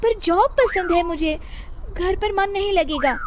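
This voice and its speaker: A woman voicing a meek, sweet young daughter-in-law, polite and gently protesting, in a cartoon moral story. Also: extremely high pitch, a little slow, background noise, pitched above the performer's own voice. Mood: pleading. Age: young adult.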